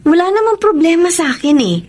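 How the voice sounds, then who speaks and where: An actress in a Tagalog radio drama playing a candid, plaintive young daughter. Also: female voice, extremely high pitch, expressive, a little fast, darkish tone, slight background noise. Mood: surprised, mildly reproachful. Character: startled but accepting young daughter of Amanda.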